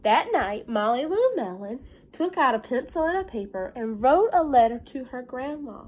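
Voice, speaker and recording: A woman teacher reading a picture book aloud to young children, with simple, encouraging asides and stressed, drawn-out storytelling phrases. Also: sits high, big pitch swings, darkish tone, a little hiss or hum.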